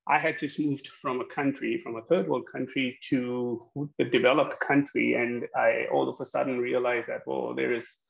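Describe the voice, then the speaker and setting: Man in his 30s, gravelly, halting speaker; dull lo-fi video call sound. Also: mid-range voice, fairly even intonation. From Pakistan. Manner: hesitant, many fillers and restarts. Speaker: guest speaker, accounting educator. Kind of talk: webinar presentation. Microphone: video call webcam.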